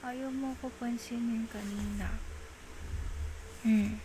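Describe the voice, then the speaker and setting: Slow, coaxing Filipino girlfriend, acted by a woman. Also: fairly high pitch, fairly even intonation, dark tone, a little hiss or hum, rough recording. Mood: puzzled, pleading. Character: clingy, coaxing girlfriend soothing a jealous partner. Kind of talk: intimate ASMR girlfriend roleplay.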